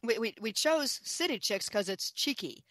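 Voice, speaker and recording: A woman's voice: a little high, lively intonation, moderate pace, a bit bright, no echo, studio quality. A woman speaking as an expert guest in an interview, talking with enthusiasm and emphasis, repeating phrases and posing questions. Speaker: guest, author and chicken expert.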